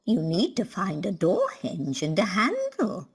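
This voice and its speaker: A woman playing a frail, kindly old grandmother in a children's cartoon, with a breathy, sing-song voice. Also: medium pitch, huge pitch swings, a little slow, full character voice. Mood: frail, needy. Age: older. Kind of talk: children's cartoon storybook acting.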